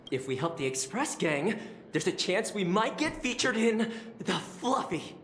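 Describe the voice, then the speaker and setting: A woman's voice: medium pitch, sing-song, measured pace, slight background noise. A woman voicing a brash, fame-hungry student in a game, talking in a cocky, mocking way. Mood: eager. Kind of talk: game voice acting. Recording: roomy game audio over music.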